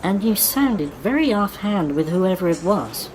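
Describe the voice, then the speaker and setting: A woman's voice: medium pitch, sing-song, a little slow, darkish tone, slight background noise, rough recording. A woman acting a probing, flirty work colleague in an audio drama, direct, concerned and teasing.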